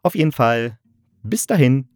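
A man's voice: mid-range voice, sing-song, measured pace, a little mellow, dry recording. A man hosting a podcast, giving a casual, chatty and enthusiastic review in colloquial language. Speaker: podcast host and radio play reviewer.

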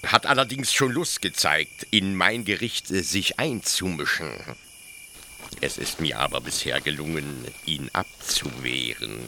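An actor playing a proud, defensive young officer-judge in a radio play, explaining pedantically with hesitant, stammered pauses. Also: male voice, deep voice, pushed and bright, slight background noise, full character voice. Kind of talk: German literary radio play acting.

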